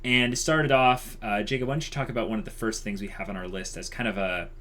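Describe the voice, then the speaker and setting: A man's voice: lowish voice, fairly even intonation, fast, a bit bright. A young man co-hosting a channel announcement, speaking casually and conversationally with plenty of likes and you-knows. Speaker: channel co-host.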